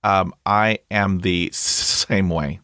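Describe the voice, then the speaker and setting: A man's voice: low voice, fairly even intonation, slow, sharp tone, clean tone, no echo, studio quality. A man hosting a podcast interview, stuttering heavily with blocks and repeated sounds, upbeat and chatty with lots of fillers. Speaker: podcast host. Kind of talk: conversational interview.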